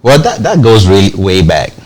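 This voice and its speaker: A man's voice: on the low side, sing-song, normal speed, a little mellow. A man from Cameroon, a company founder giving a TV interview, explaining at length and restarting phrases as he goes. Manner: explains at length, repeats words when starting phrases. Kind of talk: TV interview, conversational.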